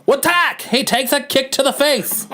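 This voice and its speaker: Excitable old-timey male narrator played by a man, raised pitch, emphatic dramatic comic-book delivery. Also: unhurried, exaggerated voice. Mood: dramatic, excited. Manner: theatrical, emphatic.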